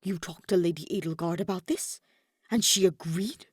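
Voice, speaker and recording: A woman's voice: fairly low pitch, moderate pace, slightly rough, no room sound. A woman voicing a sardonic, guarded male mage and spymaster with dry, formal delivery in a fan fiction audiobook. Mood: startled. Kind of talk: audiobook-style fan fiction character reading.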